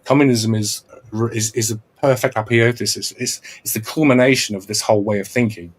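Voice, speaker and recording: A man's voice: lowish voice, steady tone, brisk, husky. A man hosting a casual podcast, explaining at length with restarts and repeated checks of "right?". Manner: earnest, lecturing, many restarts and repeated "right?". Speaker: podcast host.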